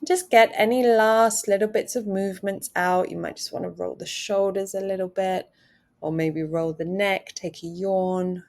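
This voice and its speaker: A woman leading a guided meditation, speaking in a calm, gentle way with soft pauses between phrases. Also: medium pitch.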